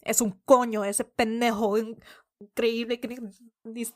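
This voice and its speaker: A woman's voice: fairly high pitch, level tone, moderate pace, a little mellow. A woman playing a tabletop roleplaying game in a casual, playful style, slipping between character speech and description. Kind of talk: casual playful roleplay, muttering in Spanish and English.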